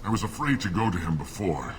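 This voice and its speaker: An actor playing a bitter, plague-stricken alien in a video game, sick and weak, with grave, formal phrasing. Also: male voice, deep voice, very animated, fast, a little hiss or hum, poor audio. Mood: weary, resigned. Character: dying plague-stricken male alien, bitter, suspicious of humans. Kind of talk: video game dialogue acting.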